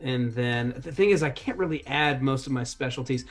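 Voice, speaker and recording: A man's voice: mid-range voice, normal speed, faint music behind. A man playing a tabletop roleplaying game, chatting casually and hesitantly as he thinks aloud.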